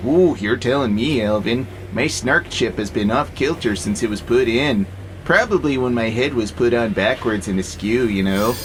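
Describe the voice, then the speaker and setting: An actor playing a gentle, philosophical freight robot in an audio drama, calmly persuasive and playfully sarcastic. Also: male voice, medium pitch, normal speed, slight background noise, close to an everyday voice. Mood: wry. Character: gentle, wry, philosophical male freight-hauling robot, peaceable.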